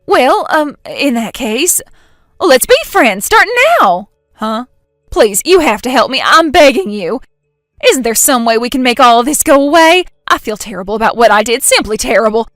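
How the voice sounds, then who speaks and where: A loud, whiny young woman in a storybook text drama, put on by a woman narrator, frantic and selfish, her voice pitched up and bursting out shrill. It sounds dry and close, with faint music underneath. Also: sing-song, takes it slowly, dry recording, exaggerated voice. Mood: pleading. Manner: shrill, panicky outbursts.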